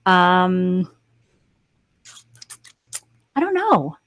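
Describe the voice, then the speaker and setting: A woman's voice: fairly low pitch, very animated, extremely slow, fairly bright tone, no room sound. A young woman, a voice actor, introducing herself in hesitant, giggly casual chat. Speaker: voice actor, panel guest. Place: indoor room.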